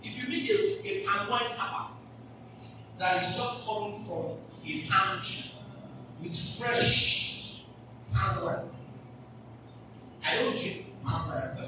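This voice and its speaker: Slow-preaching pastor in a hall. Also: male voice, sits high. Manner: emphatic preaching, repeats phrases, asks the congregation questions. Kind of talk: live church sermon. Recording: distant mic in an echoey hall with background noise.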